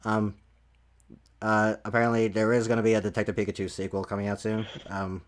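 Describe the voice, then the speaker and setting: A man's voice: lowish voice, little pitch movement, brisk, very noisy. A man hosting a casual podcast chat, talking hesitantly and trailing off as he works through topics. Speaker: podcast host.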